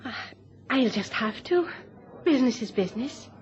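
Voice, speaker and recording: A woman's voice: on the high side, lively intonation, normal speed, close to an everyday voice. An actress playing a plain-spoken, trusting woman on holiday in a radio play, homely and direct. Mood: frank, accepting. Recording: muffled old radio recording.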